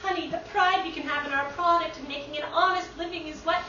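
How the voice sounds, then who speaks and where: A woman's voice: high voice, fairly even intonation, quick pace, bright, forceful tone, slight background noise. A woman playing a wholesome, proud water seller in a theatrical, earnest musical-comedy style. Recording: roomy, distant, muffled live stage recording.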